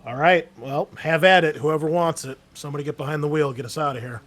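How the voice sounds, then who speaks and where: A man's voice: on the high side, fast talker, fairly bright tone. A man running a tabletop roleplaying game, improvising narration in an informal, hesitant way. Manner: informal, hesitant phrasing with frequent "like". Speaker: game master.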